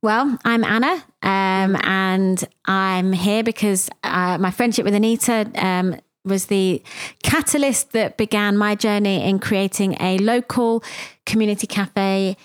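A woman, hosting a conversational podcast and telling her story in a reflective way with many pauses. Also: medium pitch, dry recording. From England. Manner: reflective, with frequent ums and pauses. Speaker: podcast host and cafe founder.

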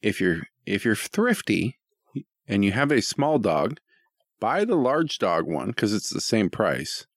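A man's voice: on the low side, big pitch swings, unhurried, no room sound, clean studio sound. A man co-hosting a podcast with casual, joking banter and an irreverent, profane way of talking. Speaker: podcast co-host reading listener questions.

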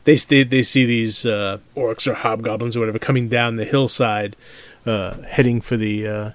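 A man in his late forties co-hosting a gaming podcast, speaking haltingly and often repeating himself as he thinks aloud. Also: on the low side, fairly even intonation, no echo. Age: 40s. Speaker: podcast co-host. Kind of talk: casual chat.

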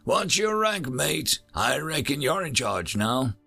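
A cocky, foul-mouthed soldier officer who throws orders around in blunt slang, as a man puts on a higher, livelier voice that jumps up and down in pitch. Bossy and brash, a storybook grunt. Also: big pitch swings, slow pace, vocal fry, pushed and bright, slightly rough, faint music behind, heavily acted. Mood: gruff bossy.

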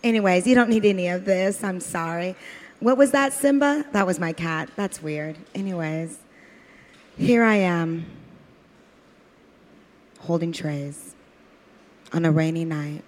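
A woman's voice: on the low side, unhurried, long pauses, a little mellow, lightly acted. A woman playing a lonely, put-upon waitress in a radio comedy, with a rambling, hesitant, self-deprecating manner. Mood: wistful, rambling. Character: lonely, put-upon drive-in waitress who loves her cat.

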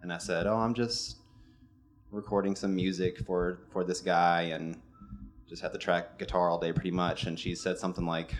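A man's voice: low-pitched, level tone, normal speed. A man, co-hosting a podcast and telling a dating story in a rambling, laid-back way. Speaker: podcast co-host. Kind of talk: casual storytelling chat.

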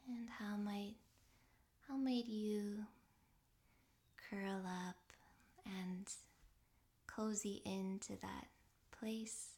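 A woman leads a guided rest meditation in a soft, breathy near-whisper. Her delivery is very slow and gentle, with long pauses between phrases. The pitch stays level and calm throughout. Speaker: yoga nidra meditation guide.

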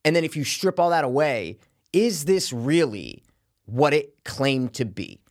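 A man's voice: a little high, animated, measured pace. A man co-hosting a casual podcast, ranting emphatically and profanely about a TV show. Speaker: podcast co-host. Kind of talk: casual chat, TV review.

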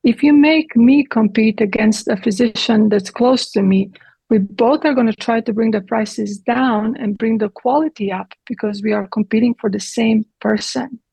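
A middle-aged woman speaks in a somewhat high, husky voice with a gravelly edge. She answers as a guest in a direct, explanatory way. The sound is dry and close. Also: moderate pace, warm tone, dry recording, poor audio. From Croatia. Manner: direct, explanatory, with occasional grammar slips. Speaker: guest physician. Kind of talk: earnest interview answers.

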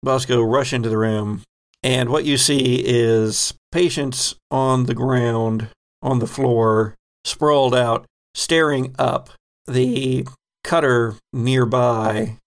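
Male GM, gravelly and slow, measured scene-setting narration. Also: medium pitch, fairly even intonation. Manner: unhurried, descriptive narration with sound effects. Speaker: game master. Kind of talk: narrating a tabletop game.

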